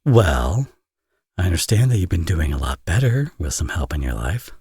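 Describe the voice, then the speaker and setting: A man's voice: sits low, big pitch swings, moderate pace, darkish tone, breathy edge, no room sound, studio quality, exaggerated voice. A man playing a teasing, flirtatious villain and doting father in an intimate romance audio roleplay. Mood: smug teasing. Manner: playful, smug, sarcastic teasing.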